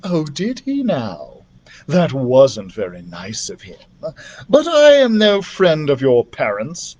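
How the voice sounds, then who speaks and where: A man's voice: fairly high pitch, wildly swooping pitch, takes it slowly, a little mellow, highs cut off, exaggerated voice. Man reading as a smug, gloating storybook villain. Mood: gloating, menacing. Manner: mock-polite, menacing, pompous. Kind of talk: storybook character voice.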